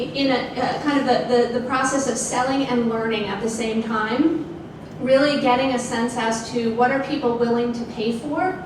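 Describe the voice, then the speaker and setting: A middle-aged woman speaks on a stage in a large open event space, her voice fairly high but held in a narrow, even range. She talks in a measured, thoughtful way with frequent fillers. The sound is picked up from far off by a camera, with strong room echo and steady background noise. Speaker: guest speaker, sales executive. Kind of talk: onstage fireside chat. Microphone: handheld mic heard from a distant camera.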